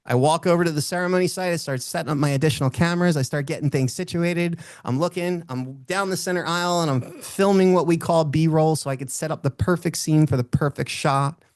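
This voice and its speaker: Booming-voiced man giving a motivational talk. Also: fairly quick, hardly any pauses, darkish tone, smooth, no room sound. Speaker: motivational speaker. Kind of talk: motivational talk with personal storytelling.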